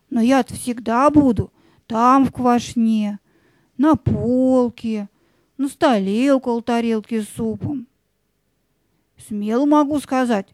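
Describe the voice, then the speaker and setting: Woman as a slow, pompous bread spirit. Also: a little high, a little mellow, no room sound. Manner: pompous, ceremonious. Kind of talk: audio play acting.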